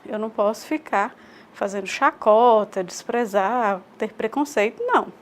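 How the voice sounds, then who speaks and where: A woman's voice: fairly high pitch, a little slow. A woman with a Brazilian Portuguese accent giving a recorded online lecture. She explains step by step, poses rhetorical questions, repeats key points and checks in with "ok?". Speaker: teacher.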